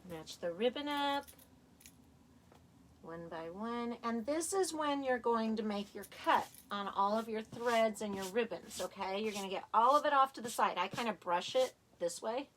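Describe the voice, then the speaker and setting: A woman teaching a live sewing tutorial in a chatty, hands-on way, her voice slightly raspy and tired as she works. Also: a little high, lively intonation, moderate pace, a bit bright, a little hiss or hum, poor audio. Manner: chatty, talks while working, restarts often. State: tired, a little hoarse. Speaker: sewing instructor.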